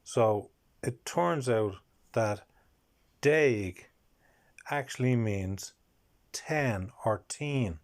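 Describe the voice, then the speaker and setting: A man's voice: mid-range voice, expressive, extremely slow, pauses often. A man with an Irish accent hosts a language-learning video, carefully explaining and repeating each number word.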